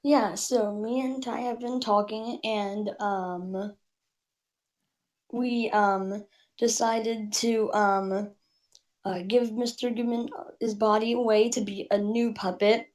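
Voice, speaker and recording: A woman's voice: fairly high pitch, fairly even intonation, takes it slowly, a little mellow. A woman giving a hesitant, casual explanation as a guest.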